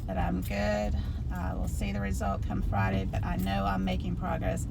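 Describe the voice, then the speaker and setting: A woman's voice: on the low side, big pitch swings, normal speed, gravelly, recorded from a distance. Chatty nasal woman in street noise. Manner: chatty, rambling, lots of fillers. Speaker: vlogger. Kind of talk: casual diary vlog.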